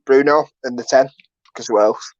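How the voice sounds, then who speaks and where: A man's voice: mid-range voice, steady tone, moderate pace, no room sound. A man chatting casually as a panellist on a football fan show. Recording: dull, dry lo-fi audio.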